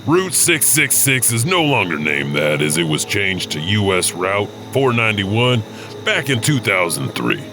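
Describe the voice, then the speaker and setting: A man's voice: on the low side, very animated, a little slow, background noise. A man playing a folksy, wry, teasing narrator of ghost stories. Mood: wry, ominous. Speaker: narrator and storyteller. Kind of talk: spooky anthology narration.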